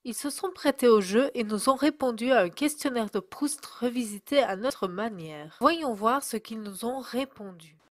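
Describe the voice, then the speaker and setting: A woman reading a scripted voice-over and putting interview questions in a clear, measured way. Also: fairly high pitch, animated, dry recording. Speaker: narrator and interviewer.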